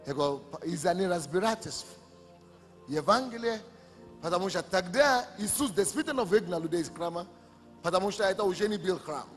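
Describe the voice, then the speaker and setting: Male pastor, high pitched, fervent sermon delivery, reverberant hall with light music bed. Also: expressive, brisk. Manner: impassioned preaching that swells to emphatic declarations.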